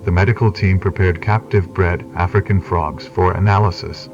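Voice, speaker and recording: A man reading scripted documentary narration in a formal, measured way. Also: low voice, steady tone. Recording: distant, dull lo-fi analog video sound. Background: clear music.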